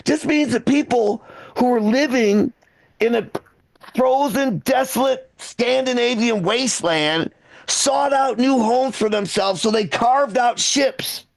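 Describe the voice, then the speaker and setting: A man's voice: very high-pitched, takes it slowly, creaky, dry recording, poor audio. A man from Michigan performing stand-up comedy in a conversational, profane way, often repeating and restarting phrases. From Michigan, United States.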